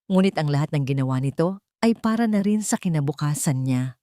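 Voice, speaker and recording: Female story narrator, low register, scripted drama delivery, dry recording. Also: expressive, a little fast, dark tone, no echo.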